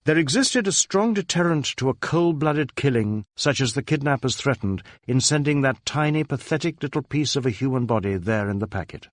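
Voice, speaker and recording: A man's voice: mid-range voice, animated, fairly quick, few pauses, no room sound, clean studio sound. A man reading a crime novel as a scripted, dramatic narration. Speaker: audiobook narrator.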